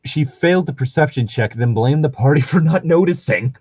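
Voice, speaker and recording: A man's voice: on the high side, animated, a little fast, warm tone, breathy edge, dry recording. A man chatting casually as a co-host, rambling and jokey with a bit of swearing. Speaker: co-host reading and discussing tabletop gaming stories. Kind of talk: casual chat.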